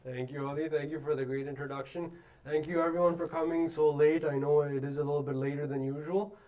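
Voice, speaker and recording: A man's voice: on the high side, fairly even intonation, quick pace. Casual male co-host, dull lo-fi sound. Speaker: co-host, real estate broker. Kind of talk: casual live-stream chat.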